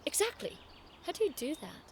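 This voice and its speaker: Woman playing a high-voiced, prim young noblewoman. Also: very animated, fairly quick, gravelly, a little hiss or hum, heavily acted. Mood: arch, teasing. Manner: arch, formal, sarcastic. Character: prim, exasperated young noblewoman student with sharp tongue. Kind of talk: audio drama acting.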